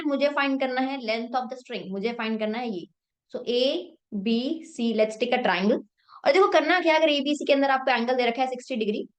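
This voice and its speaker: A young woman math teacher with a sore throat, explaining a problem step by step in a mix of Hindi and English and answering her own questions. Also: a little high.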